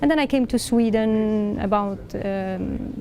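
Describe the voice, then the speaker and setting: Brief: middle-aged woman professor from Italy, mellow, creaky voice, hesitant delivery, faint room noise. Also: mid-range voice, unhurried. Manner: hesitant, many fillers and restarts. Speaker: professor and dean. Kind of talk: answering questions on a panel. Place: large library hall indoors.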